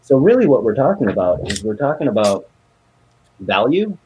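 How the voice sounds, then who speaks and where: A man's voice: mid-range voice, very animated, moderate pace, warm tone, a little hiss or hum, rough recording. A man in his thirties, born in Korea, talking in a casual, rambling way with lots of fillers. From South Korea. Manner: rambling, emphatic, lots of fillers like "you know" and "bro". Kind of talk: casual chat.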